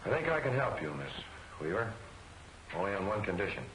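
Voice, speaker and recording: Brisk, low-voiced newspaper editor. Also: male voice, sing-song, a bit bright. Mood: probing, firm. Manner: clipped, businesslike. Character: shrewd, brisk, authoritative male newspaper editor. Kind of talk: 1940s film serial acting. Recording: noisy old film soundtrack.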